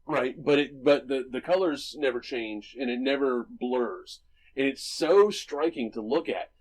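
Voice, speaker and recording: A man's voice: mid-range voice, unhurried, slightly rough, rough recording. A man co-hosting a movie podcast, explaining film visuals enthusiastically in casual chat, with frequent restarts and tag questions. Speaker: podcast co-host.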